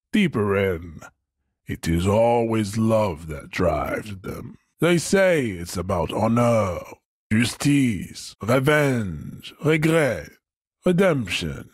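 A man's voice: fairly low pitch, very animated, takes it slowly, husky, no echo, studio quality, exaggerated voice. An actor playing a pompous, imposing male ideologue in a video game, proud and disdainful, declaiming with grand emphasis. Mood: grand, declamatory. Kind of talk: theatrical video game character acting.

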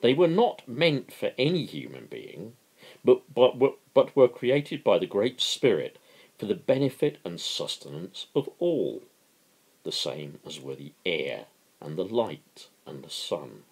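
A man voicing an earnest workman who lectures his workmates in a deliberate, declamatory way, in a dramatic book reading. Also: fairly low pitch, sing-song, a little mellow, husky, voice pushed up, exaggerated voice, low-quality recording. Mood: earnest, emphatic.

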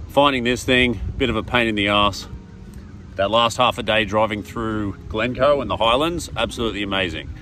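A man in his thirties talking casually to his phone camera outdoors on a beach, relaxed and a little cheeky. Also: lowish voice, moderate pace, a little hiss or hum. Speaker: travel vlogger. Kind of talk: casual selfie talk to camera. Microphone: phone camera at arm's length.

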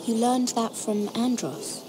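An actress playing a composed young noblewoman in a fantasy game, measured, polite and probing. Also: fairly high pitch, noisy background. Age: young adult. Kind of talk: dramatic fantasy game acting.

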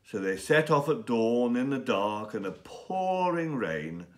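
Slow, expressive man reading aloud. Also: medium pitch. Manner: careful, deliberate enunciation. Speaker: story reader. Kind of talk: scripted story narration for English learners. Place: small indoor room with bookshelves and window.

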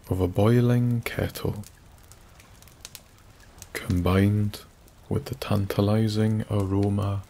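A Scottish man narrating a bedtime story in a soft-spoken, soothing ASMR style, with long pauses. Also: fairly low pitch, gravelly. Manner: slow, soothing, hushed delivery with long pauses. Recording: faint background noise. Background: soft nature ambience.

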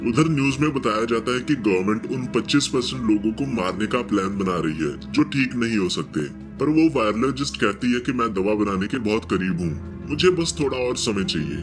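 A man with a fairly low voice delivers a scripted movie recap in storytelling style. He narrates as a voice-over, with music clearly playing underneath him. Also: expressive, a little fast, few pauses. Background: background music.

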